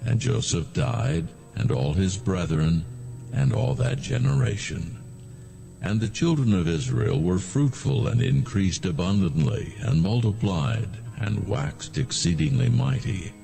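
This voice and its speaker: Male scripture narrator, heavy vocal fry, slow and solemn, with expressive rises and falls. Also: fairly low pitch, faint music behind. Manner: measured, solemn storytelling.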